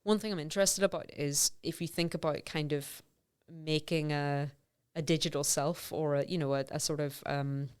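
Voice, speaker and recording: Middle-aged woman, academic guest on a podcast: low, smooth voice, thinks aloud, restarts her sentences often. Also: fairly even intonation, normal speed, few pauses, darkish tone. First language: English. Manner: thoughtful, many fillers and self-restarts. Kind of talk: conversational interview.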